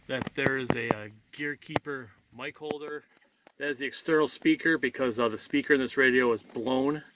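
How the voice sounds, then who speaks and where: An American man, a truck driver, chatting casually about his day in a folksy, self-deprecating, rambling way. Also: mid-range voice. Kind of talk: casual first-person vlog chat. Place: in and around a truck cab. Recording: loud vehicle and road noise throughout.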